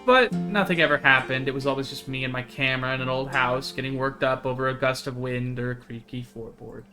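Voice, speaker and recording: A man's voice: medium pitch, fairly even intonation, fairly quick, lightly acted. A man voicing a chatty, earnest young woman who hunts cryptids in a visual novel, with a folksy, eager way of talking. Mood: chatty, wistful. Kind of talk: visual novel dialogue read aloud. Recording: music behind the voice.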